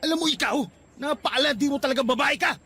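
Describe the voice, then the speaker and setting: Radio drama villain: jealous young Filipino bully, strained, sharp, very high male voice, accusing. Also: fast, heavily acted. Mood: angry, accusing. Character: Gary, jealous, abusive, accusing young boyfriend.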